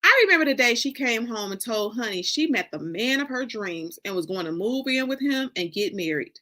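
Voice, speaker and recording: Bright, expressive American woman reading a story. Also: fairly high pitch, a little fast. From Detroit, Michigan, United States. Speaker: author reading her own novel. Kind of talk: dramatic first-person story reading.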